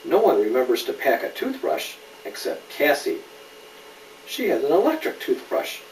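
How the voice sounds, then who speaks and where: Casting: man as a dad storyteller, lively swooping intonation, patient asides, recorded from across a roomy space. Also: medium pitch, moderate pace. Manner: explains patiently, asks the child questions. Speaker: father reading a story to a child. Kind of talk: read-aloud picture book storytelling with casual asides. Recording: roomy, distant mic, faint background noise.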